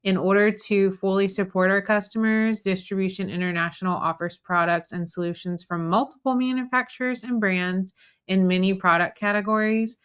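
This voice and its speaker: A woman, a marketing specialist, reading a scripted webinar introduction in an even, formal manner. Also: medium pitch, moderate pace, clean tone, highs cut off. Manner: reads a prepared welcome in an even, formal way.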